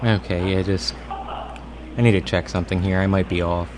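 Low-voiced American man, noisy recording. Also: steady tone, moderate pace. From United States. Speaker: prank caller, host. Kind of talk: casual improvised prank phone call. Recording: clearly noisy live call recording.

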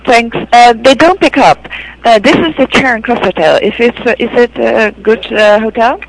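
A woman with a Dutch accent, working as a hotel receptionist, speaking polite, halting English on a service call. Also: fairly high pitch, a crowd in the background, poor audio. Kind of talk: customer service phone talk.

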